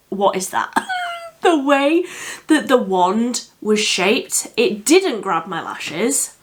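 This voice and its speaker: A woman with a slightly croaky voice from a cold, chatting candidly as a beauty vlogger reviewing makeup. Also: mid-range voice, fairly bright tone. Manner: chatty and candid, with frequent fillers. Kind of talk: casual product review.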